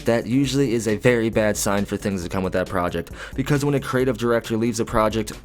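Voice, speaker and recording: A man's voice: fairly low pitch, fast talker. A man hosting a gaming news channel, talking in a casual, earnest and repetitive way as he reads out and comments on a leak. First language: English.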